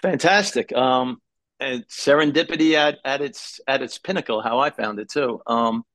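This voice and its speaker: A man with a Brooklyn accent, a podcast guest telling his story in a lively, rambling way and often stopping to restart a phrase. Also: medium pitch, normal speed, no echo. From New York. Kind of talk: casual interview storytelling.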